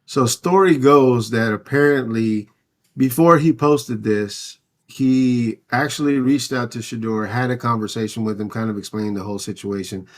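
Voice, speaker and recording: A man with a medium-pitched voice hosts a casual sports talk show. He speaks conversationally, recounting events loosely and speculating as he goes. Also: normal speed. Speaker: podcast host.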